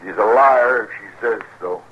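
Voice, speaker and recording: A man playing a brutal, resentful frontier husband in a radio western, with rough rustic grammar. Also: fairly low pitch, normal speed. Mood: defiant. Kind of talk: old-time radio western acting. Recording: dull, noisy old radio recording.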